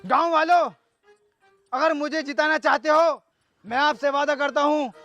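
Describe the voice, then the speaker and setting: A man playing a loud, boastful, quarrelsome villager in a comedy sketch, with a crude, combative manner. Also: very high-pitched, fairly even intonation, measured pace, exaggerated voice. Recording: music behind the voice.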